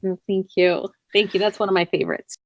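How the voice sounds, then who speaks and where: A woman's voice: medium pitch, fairly quick, dry recording, highs cut off. A woman being interviewed as a writer, chatting casually in an informal, playful, slightly coy way. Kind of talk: casual chat.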